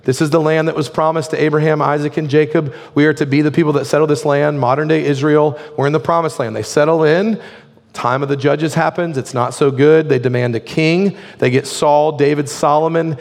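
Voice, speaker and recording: A man preaching a sermon as a pastor, his voice hoarse and his delivery conversational, full of "like" fillers. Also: fairly high pitch, steady tone, a little fast, in a large hall.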